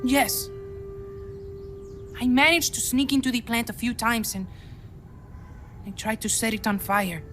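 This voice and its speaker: A woman's voice: a little high, a little slow, long pauses, fairly bright tone. A woman playing a twelve-year-old boy guerrilla in a game cutscene, earnest and defiant, with a Latin American Spanish accent. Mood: bitter, defiant. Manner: emotional, youthful, impassioned delivery. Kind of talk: dramatic video game cutscene acting. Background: music.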